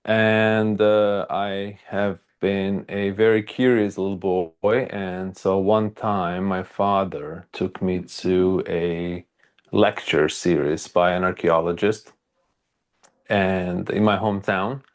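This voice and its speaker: A man from Croatia with a Croatian accent, telling his story haltingly and casually as an interview guest, with many hesitations. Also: low voice, steady tone. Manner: halting, frequent hesitations and filler words. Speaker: archaeologist and video creator, interview guest.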